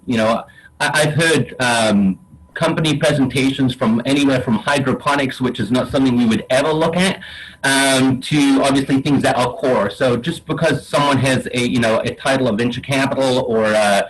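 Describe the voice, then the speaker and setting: A man with a Geordie accent from Newcastle, speaking as a venture investor on a webinar panel, halting with many restarts and fillers. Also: medium pitch, slight background noise, low-quality recording. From Newcastle, England.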